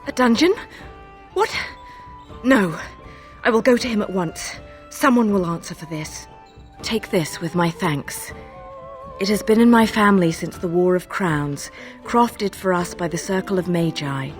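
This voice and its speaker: A woman's voice: fairly low pitch, measured pace, faint music behind, lightly acted. A woman playing a proud, protective noblewoman in a fantasy game, imperious and formal. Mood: grateful. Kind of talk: dramatic fantasy game acting.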